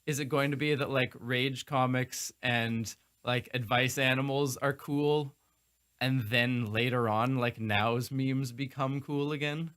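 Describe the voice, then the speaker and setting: A man's voice: medium pitch, fairly even intonation, measured pace, a bit bright, smooth. A man hosting a live stream, asking long rambling questions with many restarts. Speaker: host. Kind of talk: casual interview on a live stream.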